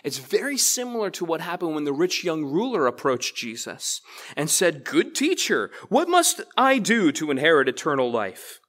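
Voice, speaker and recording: A man's voice: fairly high pitch, wildly swooping pitch, normal speed, fairly bright tone. A man preaching a sermon as pastor to his congregation, talking to them directly and casually. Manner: conversational, addresses congregation directly. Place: church.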